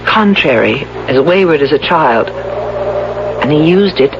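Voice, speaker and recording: A woman's voice: deep voice, animated, a little slow. A woman acting a frightened young wife who hides cowardice behind a daring front, in a 1970s radio drama. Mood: wary, accusing. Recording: muffled, noisy old radio recording.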